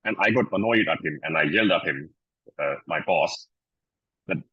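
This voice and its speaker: A man's voice: deep voice, moderate pace, breathy edge, no room sound, lo-fi sound. A man sharing a workplace anecdote at a club meeting, then inviting others to share their own stories. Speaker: meeting facilitator.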